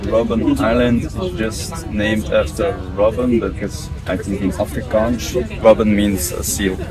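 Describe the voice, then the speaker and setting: A man's voice: lowish voice, flat delivery, unhurried, poor audio. A man from Belgium, speaking casually to camera as a tour participant. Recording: music behind the voice.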